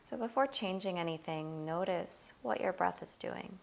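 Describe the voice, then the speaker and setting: A woman leading a guided body scan meditation, giving gentle, unhurried instructions with long pauses. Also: fairly low pitch, creaky.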